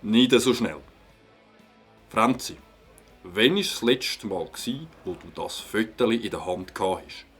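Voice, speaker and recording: An actor playing a firm, methodical police captain in a children's audio drama, speaking formally with a Swiss German accent. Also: male voice, on the low side, unhurried, fairly bright tone, faint music behind. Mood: probing. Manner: formal, authoritative.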